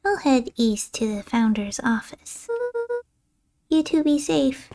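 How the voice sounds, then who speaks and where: A woman's voice: high voice, takes it slowly, vocal fry, mellow, faint music behind, full character voice. A woman voicing an encouraging young leader of a crew in a game, supportive and organizing. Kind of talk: amateur game character voicing.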